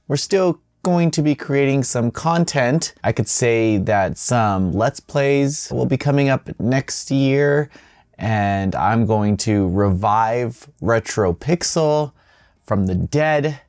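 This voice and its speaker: A man's voice: mid-range voice, animated, slow, darkish tone, no echo, clean studio sound. A man hosting a video game news roundup in an informal, offhand way, dropping in his own opinions. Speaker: host of a gaming news show.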